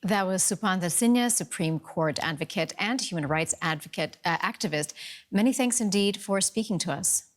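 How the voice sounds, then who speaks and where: A woman's voice: lowish voice, moderate pace. Woman presenting a news interview.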